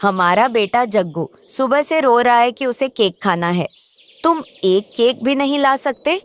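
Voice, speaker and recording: A woman's voice: fairly high pitch, lively intonation, measured pace, no room sound. Woman voicing a nagging wife crow. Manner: sarcastic, nagging.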